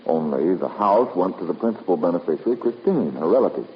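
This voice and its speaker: An actor playing a brisk, skeptical private investigator in a radio drama, with a direct, questioning manner. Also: male voice, deep voice, animated. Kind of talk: 1950s radio drama acting. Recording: dull, noisy 1950s radio recording.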